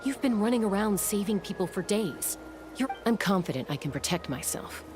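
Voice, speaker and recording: A woman playing a calm, resolute young female scout in a video game, speaking in a measured, decisive way. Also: mid-range voice, lightly acted. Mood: firm. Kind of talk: video game dialogue acting. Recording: game music under the voice. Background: game music.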